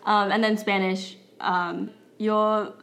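A woman's voice: mid-range voice, little pitch movement, takes it slowly, gravelly, fairly bright tone. A Brazilian woman in her twenties with Portuguese as her first language, co-hosting a law school podcast in a chatty, filler-heavy style, often laughing. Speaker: law student podcast co-host. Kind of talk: casual chat.

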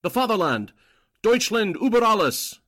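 A man's voice: high voice, big pitch swings, normal speed, fairly bright tone, slight background noise. A man acting a deferential, uneasy underling, a newspaper editor who is secretly an agent, in 1940s radio drama style with an earnest, hesitant manner. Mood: fervent.

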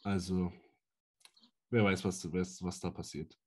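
A man co-hosting a casual sports podcast, giving details in a relaxed, informative way. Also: deep voice, measured pace. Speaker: podcast co-host.

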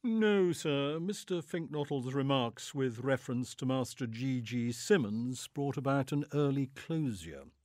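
A man voicing a calm, formal valet who reports events in measured, precise speech. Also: medium pitch, dry recording, studio quality, lightly acted. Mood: composed. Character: unflappable, formal adult male valet.

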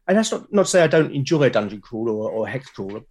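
A British man talking casually as an interview guest, explaining things haltingly and often repeating his words. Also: medium pitch, sing-song, darkish tone, slightly rough. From Britain. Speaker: podcast guest, game master and podcast creator. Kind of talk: casual conversational interview talk.

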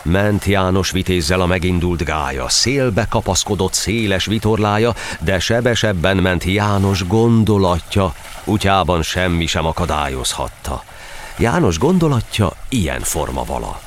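A man's voice: sits low, lively intonation. A man narrating a verse tale for an audio drama in a theatrical, declamatory storytelling style. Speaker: narrator and actor. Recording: faint noise, sound-effect ambience behind.

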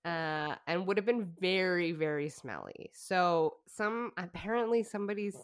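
A woman's voice: fairly low pitch, animated, unhurried, clear, resonant tone. A woman co-hosting a podcast, telling a story in casual chat with fillers and laughs. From Canada. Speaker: podcast co-host.

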